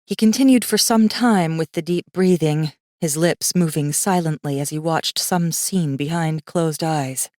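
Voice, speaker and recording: A woman narrating a fiction audiobook in an expressive, scripted storytelling style. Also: low voice, a little slow, few pauses, no echo, clean studio sound.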